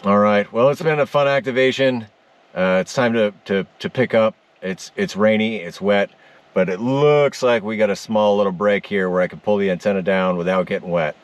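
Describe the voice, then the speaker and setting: A man hosting a casual vlog, talking in an upbeat but halting way. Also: lowish voice, clear, resonant tone, no echo, muffled recording. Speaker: ham radio vlogger, host. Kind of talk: casual unscripted vlog chat. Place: rural homestead on a rainy day. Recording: distant on-location microphone.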